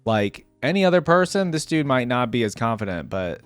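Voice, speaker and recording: A man's voice: medium pitch, big pitch swings, a little fast, smooth, no echo. Dry-sounding, informal man reacting to games. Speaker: reaction video host. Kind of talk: casual game reaction commentary. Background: music.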